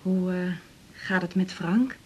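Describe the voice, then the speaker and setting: A woman's voice: fairly low pitch, takes it slowly. An actress with a Netherlands Dutch accent playing an anxious, affectionate middle-aged mother in a radio drama, fretful and hesitant. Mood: fond, fretful. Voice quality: husky, breathy.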